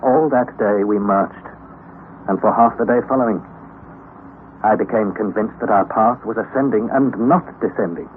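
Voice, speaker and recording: A man's voice: on the low side, normal speed, close to an everyday voice. A man playing an anxious young nephew who narrates a perilous expedition in an old radio drama, earnest and formal. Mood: grave narration. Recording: tinny, noisy old radio recording.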